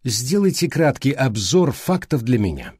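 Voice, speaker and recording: A man voicing a thoughtful, courteous, exacting male detective in an audiobook, speaking politely and deliberately. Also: medium pitch, big pitch swings, a little mellow, husky, no room sound, studio quality. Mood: businesslike. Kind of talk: audiobook character reading.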